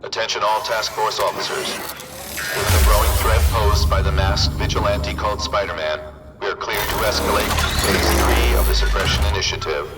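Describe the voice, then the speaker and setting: Man acting a low, echoing, menacing commander. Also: fairly even intonation, fairly quick, far from the mic, over loud music, lightly acted. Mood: grim, commanding. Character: stern, menacing male task force commander. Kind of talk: video game acting, broadcast order.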